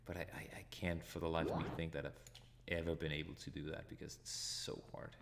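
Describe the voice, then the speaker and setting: A Danish-accented man commentates live on a retro game. His voice sits low, with a gravelly, fry-laden edge. He thinks aloud as he plays over clear background noise. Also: level tone, brisk. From Denmark. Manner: thinks aloud, chuckles at his own deaths. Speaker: retro gaming channel host.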